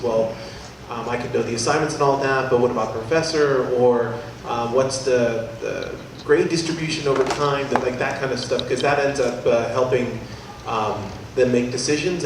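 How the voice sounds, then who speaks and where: Hesitant man giving feedback in an echoey hall. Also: medium pitch. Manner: hesitant, many ums and restarts. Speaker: audience member or judge giving feedback. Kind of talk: spoken feedback. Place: university event hall. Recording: echoey hall, distant mic, noisy.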